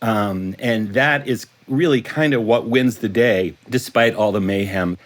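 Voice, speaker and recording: A man's voice: lowish voice, a little slow. Reflective man chatting in an interview. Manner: reflective, chuckling storyteller. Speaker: guest writer, creator of a detective series. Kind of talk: conversational interview answers.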